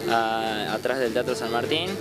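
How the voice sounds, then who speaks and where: A man's voice: medium pitch, steady tone, unhurried. A young Argentine man, a band guitarist, talking in an easygoing way during an interview in a bar. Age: young adult. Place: bar with live music. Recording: very loud music behind.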